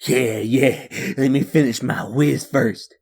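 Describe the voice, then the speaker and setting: A man playing a wisecracking, easygoing vagrant storyteller in a comic dub, with a creaky, gravelly voice. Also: mid-range voice, fairly even intonation, takes it slowly, darkish tone. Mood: clowning.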